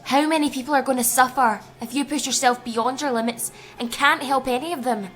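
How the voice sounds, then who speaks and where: A woman as a high-voiced, stern protective medic. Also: fairly even intonation, brisk, a bit bright, faint music behind. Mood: firm, caring. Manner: firm, scolding. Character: stern, bossy, protective female medical colleague. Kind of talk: audio drama acting.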